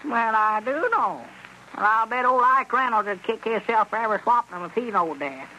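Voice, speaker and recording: An actor playing a gullible, excitable old country storekeeper in a radio comedy, with a folksy rural Southern drawl. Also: male voice, high-pitched, moderate pace, highs cut off, heavily acted. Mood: grumbling. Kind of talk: 1930s-40s radio comedy acting. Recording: noisy vintage radio recording.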